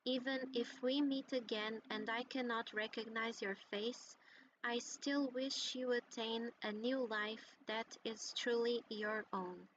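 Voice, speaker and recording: Serene game ritual guide: slow, fairly high, tender poetic recitation over faint ambience. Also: female voice, steady tone, dull audio, background noise. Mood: tender, solemn. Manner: solemn, tender, measured. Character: serene female ritual guide blessing departing souls.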